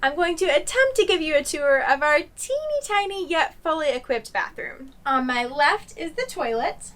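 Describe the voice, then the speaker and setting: Bright high-voiced woman showing off a cabin. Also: sing-song, moderate pace. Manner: cheerful show-and-tell. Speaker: travel vlogger. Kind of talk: casual tour narration. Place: small sleeper train cabin.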